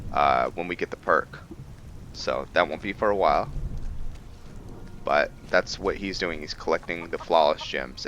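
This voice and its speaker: A man's voice: sits low, a bit bright, a little hiss or hum. A man giving casual roleplay gameplay commentary, thinking aloud to himself as he plays. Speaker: gaming video host. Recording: background music under voice. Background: game music.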